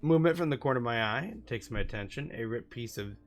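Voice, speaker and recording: A man with a mid-range voice reads a visual novel aloud for his gaming channel. He stumbles now and then and goes back over phrases, with clear music playing behind him. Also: medium pitch, lively intonation, fast talker. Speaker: gaming YouTuber. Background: music from the game.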